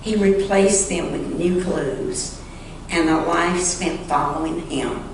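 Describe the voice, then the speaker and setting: Slow-reading woman in an echoey church. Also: lowish voice. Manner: slow, deliberate phrasing with pauses. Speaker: church member reading a short story aloud. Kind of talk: solemn dramatic story reading in church. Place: large church sanctuary. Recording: echoey church hall, distant mic, faint noise.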